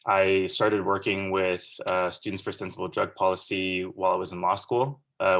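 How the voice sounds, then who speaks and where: A man's voice: sits low, a bit bright. A man in his thirties from Central California, giving a casual, earnest and rambling guest lecture over a video call. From Central California, USA. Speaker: guest speaker.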